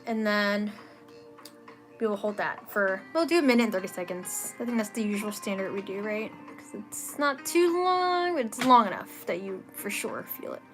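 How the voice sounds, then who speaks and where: A woman's voice: mid-range voice, animated, normal speed. A young woman with a Philadelphia accent, chattily and cheerfully explaining a breathwork exercise as a live meditation teacher. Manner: chatty, upbeat, laughs easily. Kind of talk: live guided breathwork instruction. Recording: music playing under the voice.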